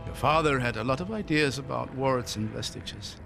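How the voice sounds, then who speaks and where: An actor playing a courteous, wry older scholar-traveler in a cinematic video game, talkative and good-humoured. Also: male voice, mid-range voice, moderate pace, creaky, lightly acted. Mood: grave, explaining. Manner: courteous, wry, expressive storytelling delivery. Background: background music.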